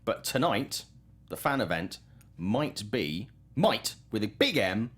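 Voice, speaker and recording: Low-pitched, lively man chatting about films. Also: slow, slightly rough. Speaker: film news show host, reporter. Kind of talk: casual film chat.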